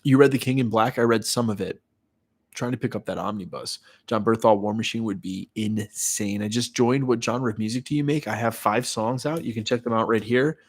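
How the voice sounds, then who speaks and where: A man's voice: on the low side, fairly quick. A man in his thirties with a stuffy, congested voice from a cold, hosting a casual live stream and answering viewer comments. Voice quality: nasal, stuffy. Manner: loose and chatty, reads viewer comments aloud and answers them. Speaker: YouTuber hosting a live watch party.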